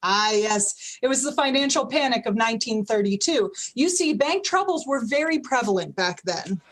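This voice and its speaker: A woman playing a patient, authoritative head angel in a radio play, telling a story in a measured, explanatory way. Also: a little high, animated. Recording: faintly noisy video call.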